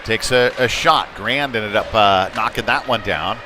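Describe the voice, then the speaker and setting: A man's voice: lowish voice, a little slow. A man doing live play-by-play of a youth hockey game in a conversational, somewhat hesitant and unpolished way. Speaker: play-by-play sports commentator. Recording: noisy live rink ambience. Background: ice rink game noise.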